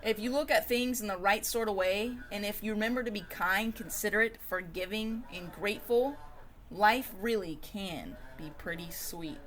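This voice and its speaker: A woman reading a children's picture book aloud expressively, with emphatic pauses and stretched words. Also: medium pitch, fairly bright tone. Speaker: storybook reader.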